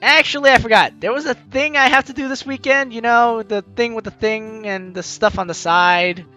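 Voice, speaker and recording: A man's voice: very high voice, steady tone, normal speed, sharp tone, soft background music. A man hosting a let's play, reading game text aloud and adding jokey, theatrical, exclamatory commentary. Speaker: gaming video host.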